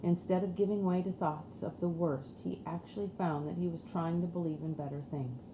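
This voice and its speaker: A woman's voice: fairly low pitch, fairly even intonation, brisk, narrow, tinny audio. A woman reading a storybook aloud as scripted narration. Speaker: audiobook reader. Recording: roomy echo with faint background noise.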